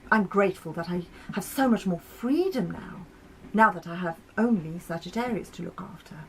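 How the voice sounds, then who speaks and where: A woman's voice: on the low side, normal speed, gravelly, a little hiss or hum, rough recording. Woman as a storybook male planet, kindly, protective, reflective, chatty. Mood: protective, relieved.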